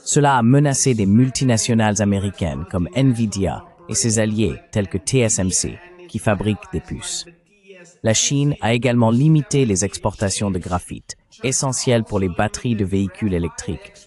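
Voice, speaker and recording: Low-voiced man narrating formal analysis. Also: expressive, moderate pace, darkish tone, faint music behind. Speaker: political commentator, narrator.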